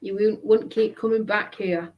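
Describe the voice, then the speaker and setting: A woman's voice: medium pitch, fairly even intonation, moderate pace, dull audio, a little hiss or hum. A woman live streamer delivering a confrontational monologue in an accusatory, emphatic, repetitive manner.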